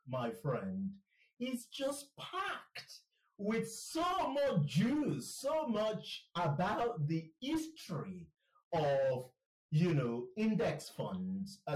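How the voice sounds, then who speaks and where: A man's voice: on the high side, a little mellow, echoey room, recorded from a distance, poor audio. A man hosting a podcast interview, enthusiastic and chatty, often repeating words and laughing. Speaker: podcast host. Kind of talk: casual interview chat.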